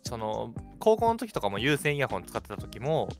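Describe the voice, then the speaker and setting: A man's voice: medium pitch, moderate pace. A young adult man co-hosting a radio show, chatting informally and acting out little exclamations as he talks. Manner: animated, informal storytelling with quoted exclamations. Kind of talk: casual chat. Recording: background music behind the talk.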